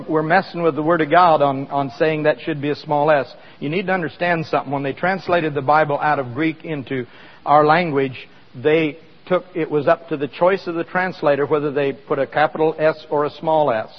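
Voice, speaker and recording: A man's voice: a little high, fairly quick, long reverb tail, dull audio. A man preaching a sermon in a conversational way, asking the congregation questions as he explains scripture. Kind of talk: sermon with live demonstration.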